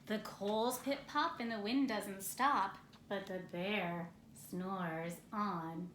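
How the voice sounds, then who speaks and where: A woman reading a picture book aloud expressively, with gentle, playful, drawn-out phrasing and casual asides. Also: medium pitch. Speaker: storybook reader. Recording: roomy echo, some background noise.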